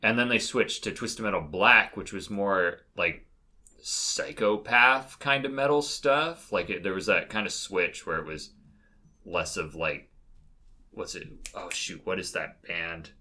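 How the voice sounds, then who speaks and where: A man's voice: low-pitched, a little slow, sharp tone. A man talking casually and giving opinions as a podcast panelist, rambling and often cutting into his own sentences as he hedges. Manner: rambling, self-interrupting, hedging.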